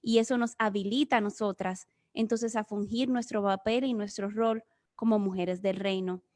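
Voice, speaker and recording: A woman's voice: medium pitch, steady tone, normal speed, a little mellow. Dominican woman giving a Bible talk. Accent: Dominican Spanish. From Dominican Republic. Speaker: guest speaker. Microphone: remote video session.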